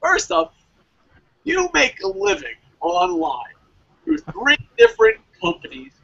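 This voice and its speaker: A man's voice: extremely high pitch, wildly swooping pitch, measured pace, vocal fry, a bit bright, muffled recording. A man hosting a casual wrestling review podcast, chatting informally with some swearing. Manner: profane, informal. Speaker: podcast host.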